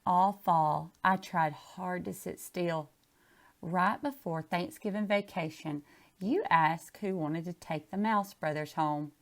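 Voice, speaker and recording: A woman's voice: on the low side, slow. A woman chatting casually and reading a picture book aloud. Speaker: storybook reader.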